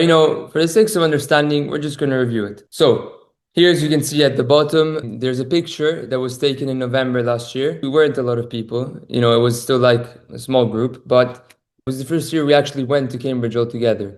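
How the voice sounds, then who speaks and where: A man hosting a video call, chatting casually and often repeating his praise with plenty of fillers. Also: mid-range voice.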